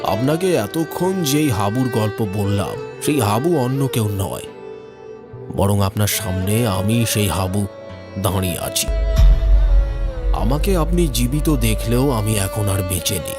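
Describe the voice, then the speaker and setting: A man's voice: on the low side, lively intonation, a little slow, music in the background. A man voicing a kindly, sorrowful ghost of a poor villager in an earnest, plaintive cartoon voice-over. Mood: sorrowful, grave.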